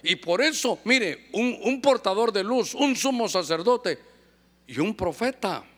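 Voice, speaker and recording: High-voiced male preacher, lively and joking, acts out little scenes. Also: measured pace. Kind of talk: sermon.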